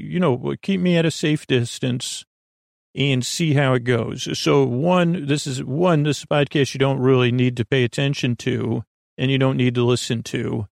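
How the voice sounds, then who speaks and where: A man with a creaky voice hosting a bedtime podcast in a meandering, halting monologue that keeps interrupting and repeating itself. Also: a little high, darkish tone, no room sound, clean studio sound. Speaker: podcast host.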